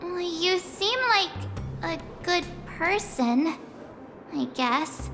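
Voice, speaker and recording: Very high-pitched excitable girl. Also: drawn-out words, exaggerated voice. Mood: wary. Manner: excitable, easily flustered. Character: cheerful excitable girl companion. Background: game music.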